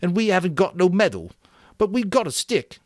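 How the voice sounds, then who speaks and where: A man's voice: high voice, big pitch swings, fairly quick, no echo, clean studio sound, voice pushed up. A man voicing a plump, earnest schoolboy who talks in an eager, plodding, matter-of-fact way.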